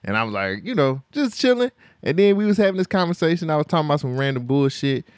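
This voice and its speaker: A young man co-hosting a podcast, bantering excitably and breaking into high, squeaky impressions. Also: fast talker, dry recording, clean studio sound. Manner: excitable, jumps into high-pitched impressions, laughs a lot. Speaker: podcast co-host. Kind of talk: casual banter.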